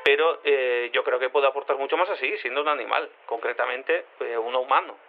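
A man's voice: medium pitch, fairly quick, phone-quality sound, natural voice. A man playing a naive, rambling quantum cat in human form, interviewed in an audio drama, speaking casually and hesitantly. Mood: earnest, hesitant.

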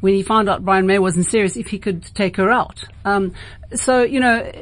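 A woman's voice: medium pitch, normal speed, low-quality recording. A woman with a South African accent hosting a late-night radio interview in a conversational, rambling way, often circling back to rephrase.

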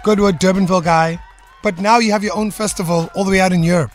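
A man with a high voice hosts a radio show from the studio. He sounds hyped and keeps affirming his guest. Music sits faintly beneath his talk. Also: fairly even intonation, fast. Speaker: radio host.

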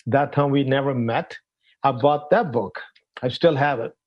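An older man from Pakistan telling his life story as an interview guest, halting, with many fillers and non-native phrasing. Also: medium pitch. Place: at home, in a room. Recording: dull lo-fi sound.

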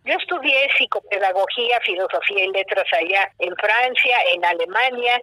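Bright-voiced older woman telling stories. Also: mid-range voice, normal speed, no room sound, low-quality recording. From Guatemala. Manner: warm storytelling, animated, playful. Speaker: guest, children's writer. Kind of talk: casual interview.

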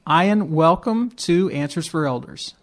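Easygoing male podcast host, higher voice. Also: animated, unhurried. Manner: easygoing, asks open questions. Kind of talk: conversational interview.

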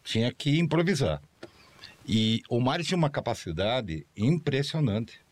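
A man whose pitch rises and falls a lot as he speaks earnestly. He is a former colleague joining a relaxed talk-show conversation. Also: normal speed.

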